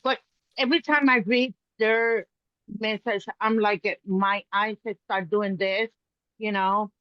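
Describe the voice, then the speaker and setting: A woman's voice: medium pitch, steady tone, slow pace, no echo, highs cut off. A woman from Puerto Rico with a thick Puerto Rican Spanish accent, chatting animatedly as an interview host. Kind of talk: casual interview chat.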